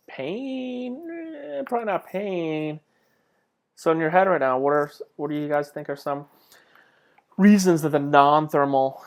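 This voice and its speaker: A man delivering an informal narrated slide lecture as a college instructor, conversational and emphatic on key points, often posing questions to his students. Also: fairly high pitch. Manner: conversational, asks rhetorical questions.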